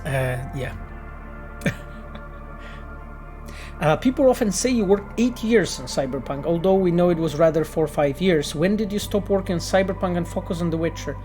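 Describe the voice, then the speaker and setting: A man who is a game developer, answering chat questions on a casual livestream with earnest, halting explanations and many restarts. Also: high-pitched, lively intonation, darkish tone, faint music behind.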